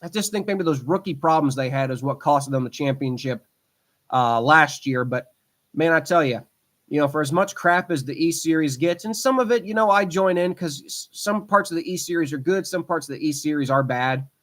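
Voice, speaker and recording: A man in his twenties hosts a casual podcast by himself. His voice sits a little on the high side. He rambles with plenty of ums and restarts, and he talks with a fan's eager enthusiasm. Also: normal speed, fairly bright tone. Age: 20s. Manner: rambling, frequent fillers and restarts; enthusiastic fan talk. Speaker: podcast host. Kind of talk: casual solo podcast chat.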